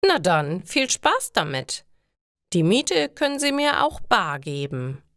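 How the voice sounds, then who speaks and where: A woman's voice: lowish voice, big pitch swings, slow pace, fairly bright tone, dry recording, studio quality, full character voice. A woman voicing a nice, easygoing male garage owner with friendly, emphatic storybook delivery. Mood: pleased.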